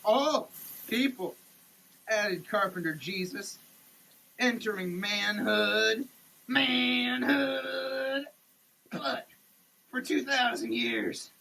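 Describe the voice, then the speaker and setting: Bright forceful voice, very slow dramatic reading, halting and declamatory, gravelly edge, running water noise behind. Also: mid-range voice, poor audio. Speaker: solo sketch performer. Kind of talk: dramatic reading of a product label. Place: in a running shower, indoors.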